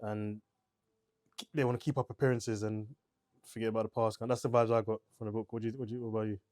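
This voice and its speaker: A man's voice: on the low side, level tone, fast talker, a little mellow, no room sound. A man co-hosting a casual book discussion podcast, talking hesitantly with many fillers. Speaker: podcast co-host.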